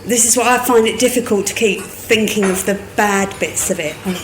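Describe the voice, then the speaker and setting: A woman's voice: mid-range voice, a little fast, noisy background. A woman interviewing people and speaking hopefully, thinking aloud and repeating herself. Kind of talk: casual interview chat.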